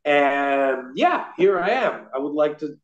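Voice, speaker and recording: A man's voice: on the high side, big pitch swings, slow pace. A man in his thirties from Rome with a light Italian accent, chatting as a real estate guest on a video call, often restarting his sentences. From Rome, Italy. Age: 30s. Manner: chatty, frequent restarts and fillers, gestures with hands. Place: indoor room at a desk. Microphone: laptop camera on video call. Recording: roomy, dull video call audio.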